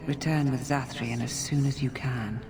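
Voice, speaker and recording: A woman's voice: a bass voice, steady tone, moderate pace, vocal fry, music in the background. A woman acting as a solemn, regal forest spirit who guards beasts in a fantasy game, speaking in a stately, firm manner. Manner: stately, pleading yet firm.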